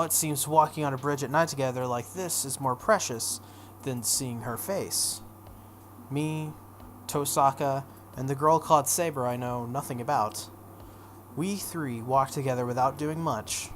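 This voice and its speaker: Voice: mid-range voice, a little hiss or hum. A video game playthrough host reading a story aloud dramatically, with casual offhand asides. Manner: acts out dialogue, breaks off for offhand comments.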